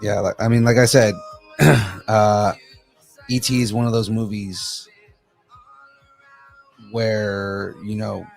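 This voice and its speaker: A man with a hoarse, cracking voice, chatting casually and emphatically as a co-host. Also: fairly low pitch, fairly even intonation, slow pace, long pauses. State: hoarse, losing his voice. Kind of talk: casual chat. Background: music.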